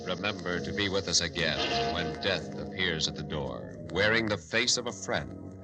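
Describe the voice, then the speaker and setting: Very deep, ominous radio announcer. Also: male voice, expressive, gravelly. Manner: ominous, dramatic, deliberate. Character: ominous male announcer of a murder mystery show. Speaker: announcer of a radio mystery show. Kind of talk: 1940s radio announcing, scripted narration. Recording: old radio broadcast with loud music. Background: loud dramatic music.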